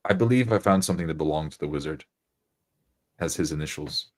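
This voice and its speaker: Man playing a very deep, earnest devout adventurer. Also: darkish tone. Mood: polite, curious. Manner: halting, polite, solemn. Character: earnest, devout male adventurer reading omens.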